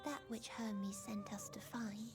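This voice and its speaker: A woman voicing a gentle, sorrowful, childlike otherworldly girl in a video game cutscene, softly and breathily, with tender, wistful solemnity. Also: mid-range voice, flat delivery, slow, music in the background, close to an everyday voice. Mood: wistful, awed. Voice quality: soft, breathy.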